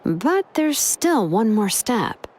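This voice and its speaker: An actress voicing a calm, dry and reassuring expert craftswoman in a video game, speaking in a measured way. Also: fairly high pitch, slight background noise. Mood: composed, dry.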